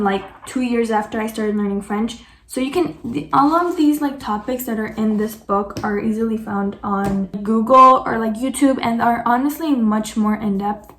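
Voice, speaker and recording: A woman's voice: fairly high pitch, fairly bright tone, slight background noise. Chatty woman in a roomy room. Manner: chatty, full of "like" and self-corrections. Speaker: vlogger. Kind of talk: casual chat answering viewer questions.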